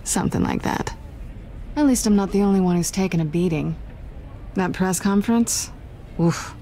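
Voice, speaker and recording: Low, mellow actress as a sly woman thief. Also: slight background noise, close to an everyday voice, low-quality recording. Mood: guarded, wry. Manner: teasing, sultry, deliberate. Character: sly, flirtatious woman thief, cool and self-assured. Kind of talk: video game voice acting.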